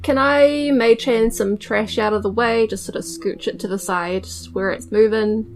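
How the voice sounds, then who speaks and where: A woman's voice: fairly high pitch, fairly even intonation, normal speed. A woman with a New Zealand accent chatting casually as a player in a tabletop game. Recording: faint music behind the voice. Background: faint background music.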